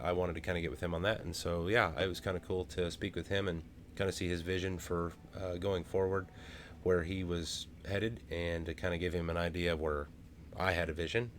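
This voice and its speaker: A man, casually talking through a crypto project on a screen share with many ums and uhs. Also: deep voice. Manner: rambling, many fillers. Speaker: crypto vlogger.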